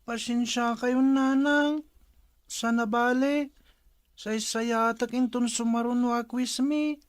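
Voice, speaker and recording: Meek, slow-spoken little schoolboy. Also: female voice, on the high side, steady tone, dry recording. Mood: meek, apologetic. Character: meek, apologetic young schoolboy. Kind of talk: Ilocano radio drama acting.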